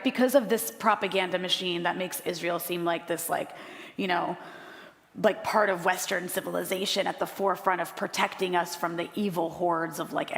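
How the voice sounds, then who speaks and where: A woman's voice: medium pitch, big-room sound. A woman journalist speaking on a live panel, passionate and blunt, with frequent "um" fillers. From United States. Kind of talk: impassioned panel talk. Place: live panel in a room with an audience.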